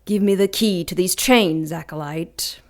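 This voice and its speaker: A woman voicing an ancient, cruel female demon who taunts slowly and with menace in an audio drama. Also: lowish voice, natural voice. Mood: taunting. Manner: slow, mocking, menacing purr.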